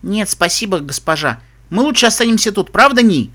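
A man's voice: high voice, expressive, brisk, fairly bright tone, voice pushed up. A man voicing a shy, chirpy young pony girl guide in an audiobook, speaking simply and eagerly. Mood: shy, grateful. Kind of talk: audiobook character voice.

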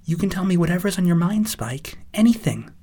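A man voicing a gentle, caring female pony who speaks with soft, soothing concern in an audio story. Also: high voice, big pitch swings, a little fast, slightly rough, pitched above the performer's own voice, full character voice.

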